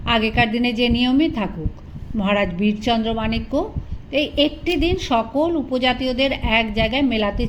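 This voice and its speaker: Lady reading an audiobook story, pretty high voice, clear noise in the background. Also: rough recording. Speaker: audiobook narrator. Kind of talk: scripted literary story narration.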